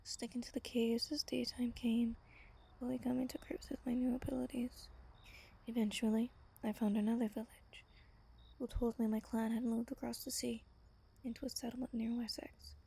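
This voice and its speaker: A woman playing an ancient, wistful vampire lover in a soft, breathy ASMR roleplay, telling her tale slowly and intimately. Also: a little high, level tone, heavily acted. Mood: sorrowful, wistful. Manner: gentle, slow, intimate storytelling.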